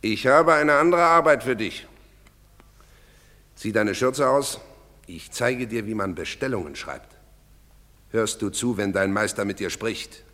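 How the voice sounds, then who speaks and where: Patronizing master carpenter, low and very slow, hall reverb. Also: male voice, bright, forceful tone. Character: older male master carpenter, patronizing, scheming. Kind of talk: radio drama acting.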